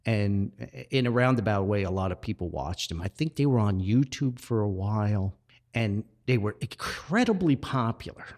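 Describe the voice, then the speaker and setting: A man hosting a personal podcast, talking reflectively and earnestly with drawn-out emphasis. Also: lowish voice, expressive, darkish tone. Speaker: podcast host.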